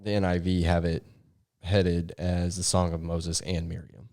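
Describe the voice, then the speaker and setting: A man's voice: deep voice, little pitch movement, unhurried, darkish tone. A man co-hosting a podcast in casual, conversational Bible discussion. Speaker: podcast co-host.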